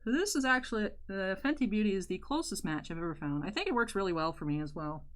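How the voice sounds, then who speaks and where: Chatty young woman with a deeper voice. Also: expressive, fast, a bit bright. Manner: chatty, rambling, candid. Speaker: YouTuber. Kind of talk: casual makeup tutorial chat.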